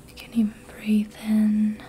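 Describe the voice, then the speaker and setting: A woman whispering a guided relaxation in ASMR style, soothing and hushed, in short unhurried phrases. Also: medium pitch, monotone, slight background noise. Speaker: ASMR host guiding relaxation during a facial massage.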